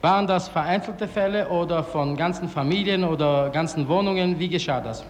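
Male courtroom examiner, slightly high voice, formal questioning, long room reverb. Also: a little fast. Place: large courtroom.

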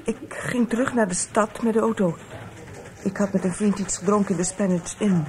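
A woman's voice: mid-range voice, steady tone, normal speed, a little mellow, close to an everyday voice. An actress playing a troubled, insistent woman witness in a Dutch radio play, earnest yet hesitant and evasive. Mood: guarded, earnest.

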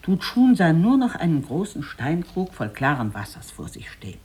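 A storyteller with a husky voice reads a literary tale. The delivery is deliberate and dramatic, with pitch that moves widely up and down. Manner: deliberate, expressive.

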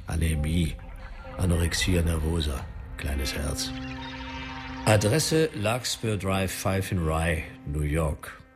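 A deep, clinical doctor. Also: male voice, expressive, slow, faint music behind. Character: clinical doctor reading patient file.

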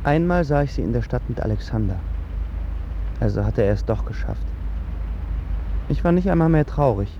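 A man's voice: mid-range voice, animated, normal speed, pauses often, dull audio, a little hiss or hum, close to an everyday voice. An actor playing a moody, lovesick young writer who tells his story in a radio drama. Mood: numb, bitter. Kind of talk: radio drama acting, first-person narration. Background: guitar music.

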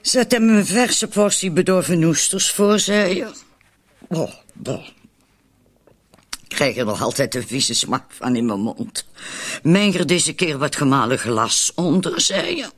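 An actor playing a wary, needling woman in a radio drama, with a husky voice. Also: fairly high pitch, animated, unhurried. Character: wary, needling woman named Marilyn, accomplice in poisonings.

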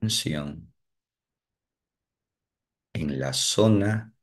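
A man guiding a meditation, giving slow, calm instructions with long pauses. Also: extremely low pitch. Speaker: meditation guide. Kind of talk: guided meditation.

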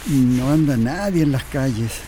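A man's voice: medium pitch, lively intonation, measured pace, natural voice. A man with a Chilean accent playing a stubborn, weary and disillusioned husband in a radio drama, curt and grumbling. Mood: tired, subdued. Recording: clearly noisy radio play recording.

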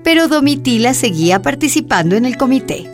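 A woman's voice: high-pitched, expressive, fairly quick. Woman narrator with music underneath. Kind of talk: scripted radio drama narration.

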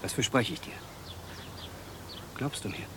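An actor playing a kindly village doctor in a radio drama, speaking gently and soothingly. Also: male voice, measured pace, background noise, lightly acted. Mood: soothing, regretful. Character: kindly adult male village doctor calming a child. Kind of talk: hushed German radio drama acting.

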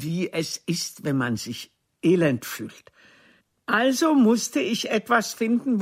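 A proud aging theatre diva in a radio play, speaking slowly and deliberately in a husky voice. Her pitch sweeps widely as she delivers each haughty, cutting phrase. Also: full character voice. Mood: cold, proud. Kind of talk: German radio drama acting.

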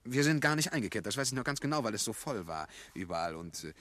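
An actor in a 1950s German radio play as an uneasy, defensive bank clerk, hesitant yet earnestly insistent and eager to please. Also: male voice, mid-range voice, big pitch swings, fast talker, fairly bright tone, no room sound.

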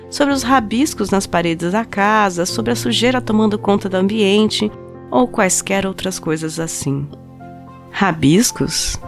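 A woman playing an obsessive, coldly rational scientist confessing to murder in a dramatized audio story, with intense, deliberate delivery. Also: mid-range voice, lightly acted. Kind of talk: dramatized first-person audio story narration.

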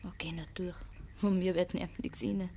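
An actress playing a cunning, self-assured young Bavarian farm maid in dialect in a 1950s radio play. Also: female voice, lowish voice, steady tone, normal speed, warm tone, a little hiss or hum, natural voice. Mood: drowsy, knowing.

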